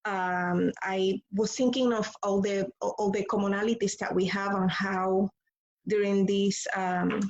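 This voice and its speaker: Halting woman artist, slightly muffled audio. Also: mid-range voice, fairly even intonation. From Puerto Rico. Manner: halting, many fillers and restarts. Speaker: visual artist. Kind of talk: hesitant artist talk.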